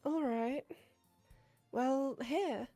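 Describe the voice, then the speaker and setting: A woman's voice: high-pitched, drawn-out words, warm tone, faint music behind, heavily acted. A woman voicing a timid, bookish teenage girl who hesitates and stammers. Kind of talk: fan voice acting of a visual novel.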